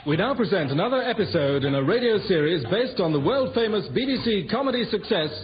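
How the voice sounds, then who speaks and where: Male radio comedy announcer, high, animated, formal introduction, dull sound. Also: brisk. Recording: muffled broadcast over loud music.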